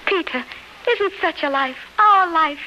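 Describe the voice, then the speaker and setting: A woman's voice: very high-pitched, expressive, measured pace, muffled recording, very noisy. An actress playing a devoted, consoling woman in love, earnest and soothing, in 1930s radio drama style. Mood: fervent devotion. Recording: 1930s radio broadcast with music.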